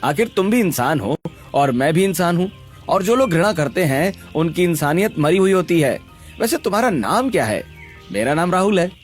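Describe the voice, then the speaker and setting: A man voicing a kind, gently teasing young tea-stall keeper in a cartoon story. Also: high voice, very animated, moderate pace, soft background music. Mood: kind, concerned. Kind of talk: Hindi cartoon story acting.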